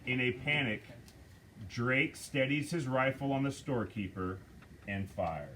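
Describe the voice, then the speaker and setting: A man reading the stage directions aloud at a script table read, measured and deliberate. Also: medium pitch, sharp tone, a little hiss or hum, rough recording.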